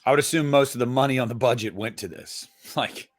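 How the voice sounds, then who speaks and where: A man's voice: mid-range voice, brisk. A man co-hosting a podcast in casual chat, rambling and swearing casually with many fillers. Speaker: podcast co-host.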